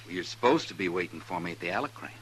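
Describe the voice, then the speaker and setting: A man's voice: low-pitched, animated, rapid-fire, a bit bright, husky, full character voice. A man acting a curt, jealous, suspicious gambler husband in an old-time radio Western. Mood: suspicious, cold. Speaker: actor in a Western radio drama. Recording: noisy vintage radio recording.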